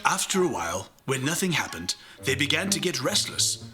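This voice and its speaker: A man narrates a children's storybook with lively, swinging pitch and a bright tone. He is playful and theatrical, putting on an English accent, with music behind him. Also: moderate pace. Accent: put-on English accent. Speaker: storybook reader. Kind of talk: animated children's read-aloud narration.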